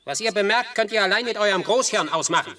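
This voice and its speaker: An actor playing a stern, articulate animal judge in a radio play, speaking formally and accusingly as if in court. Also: male voice, high-pitched, expressive, quick pace, pushed and bright. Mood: stern, judging. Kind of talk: German radio play acting.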